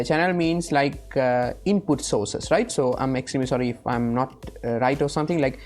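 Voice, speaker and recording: A woman hosting an informal step-by-step software tutorial, often checking in with the listener as she explains. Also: sits low. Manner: frequently checks in with "right?". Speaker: tutorial host.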